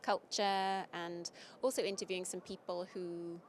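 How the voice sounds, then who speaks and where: A woman from the UK with a British accent, giving thoughtful, measured answers in a casual interview. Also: lowish voice, little pitch movement, slight background noise. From United Kingdom. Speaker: interviewee, video creator.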